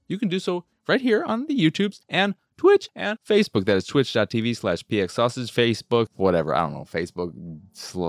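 A man's voice: fairly low pitch, no room sound. A man hosting a video game show with casual, sweary, rambling commentary and an exasperated, self-deprecating manner.

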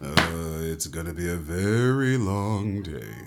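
A man's voice: low voice, slow pace, over loud music. An actor playing a blunt, impatient, sarcastic theatre man in an audio drama. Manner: brusque, sarcastic.

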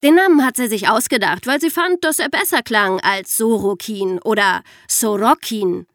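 A woman co-hosting a scripted true-crime podcast, narrating in a lively, lightly playful way. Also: a little high, moderate pace, no room sound, clean studio sound. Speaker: podcast co-host.

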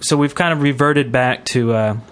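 Halting, casual man hosting a podcast. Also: mid-range voice, fairly bright tone, smooth. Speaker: podcast host. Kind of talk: casual panel chat.

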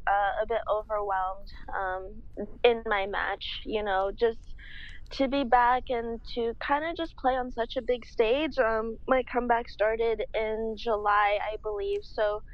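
A young woman in her twenties answers interview questions in a slightly raised pitch. She speaks slowly and thoughtfully, filling pauses with ums. Her audio is dry and muffled, like a remote call. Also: steady tone, no echo. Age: 20s. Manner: measured, slow, many fillers. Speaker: guest, pro tennis player.

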